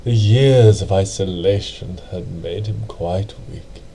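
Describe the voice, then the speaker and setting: A man reading a poem as dramatic narration, with a theatrical, deliberate and brooding delivery. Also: sits low, mellow, a little hiss or hum. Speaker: amateur reader.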